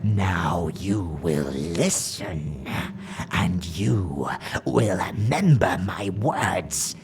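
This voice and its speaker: Guy doing an evil old hag monster for audio drama, raspy hissy croak, super slow and creaky, menacing, twisted old-timey wording. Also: low voice, exaggerated voice. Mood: menacing, amused. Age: older. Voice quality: raspy, hissing, breathy croak. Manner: archaic inverted syntax, drawn-out menacing delivery. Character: ancient monstrous female night hag crone, cruel and cryptically amused.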